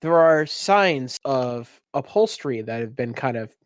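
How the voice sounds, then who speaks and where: A man improvising scene descriptions as game master of a tabletop game, halting as he goes and using ornate wording. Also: medium pitch, lively intonation, no room sound, studio quality.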